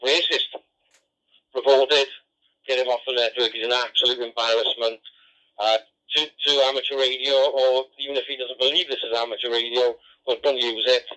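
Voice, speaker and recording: A man's voice: medium pitch, steady tone, normal speed, pushed and bright. A man speaking as an amateur radio operator, disapproving and exasperated, over a lo-fi digital radio transmission. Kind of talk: radio argument. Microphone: radio transceiver microphone.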